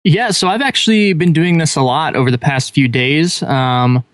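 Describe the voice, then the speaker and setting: A man's voice: fairly high pitch, moderate pace, fairly bright tone, dry recording. A man who is a guest on a podcast, explaining things in a matter-of-fact way. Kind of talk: casual podcast chat.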